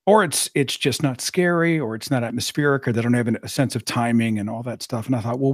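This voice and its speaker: A middle-aged man with a raspy voice, hosting a casual live-stream chat and rambling along with plenty of fillers. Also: mid-range voice, no echo, studio quality. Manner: rambling, conversational, with frequent fillers. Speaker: podcast host.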